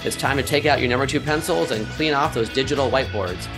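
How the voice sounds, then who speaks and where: A man's voice: medium pitch, fast talker, over music. A man hosting a podcast, upbeat and chatty, reading a scripted intro then interviewing a guest. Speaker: podcast host.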